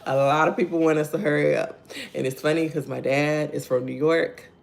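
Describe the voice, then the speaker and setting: A soft-spoken woman with a Texan accent, leading a slow, conversational morning devotional and greeting viewers by name. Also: low-pitched, fairly even intonation, gravelly. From Texas. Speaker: devotional host. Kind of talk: live-streamed morning devotional.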